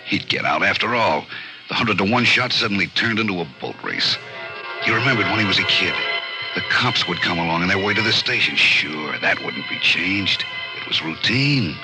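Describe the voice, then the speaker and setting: A man narrating an old radio thriller in a grim, suspenseful, deliberate manner. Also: low voice, huge pitch swings, poor audio. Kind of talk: dramatic scripted narration, 1940s-50s radio thriller. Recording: old radio recording, loud music behind.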